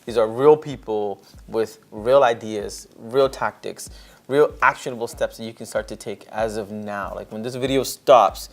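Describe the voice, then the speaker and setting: A man's voice: lowish voice, normal speed, a little mellow. A man hosting a podcast in casual conversation, speaking informally and confessionally with bits of slang. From Chennai, India, later Canada. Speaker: podcast host.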